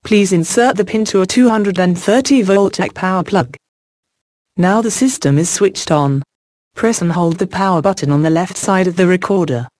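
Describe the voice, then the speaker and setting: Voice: medium pitch, darkish tone, dry recording. Narrator doing a how-to install script, formal and careful, step by step, really dry audio. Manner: deliberate, step-by-step, formal reading. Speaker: product demonstrator narrating an installation guide.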